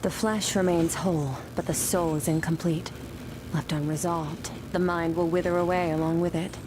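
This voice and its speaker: A woman voicing an aloof, mysterious master swordswoman in a video game, speaking in a cool, terse, deadpan manner. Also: on the low side, normal speed, background noise, natural voice. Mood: ominous.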